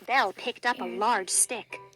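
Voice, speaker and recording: A woman reading a children's storybook aloud in an expressive, deliberate, dramatic way. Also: fairly high pitch, soft background music, rough recording. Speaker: storybook reader.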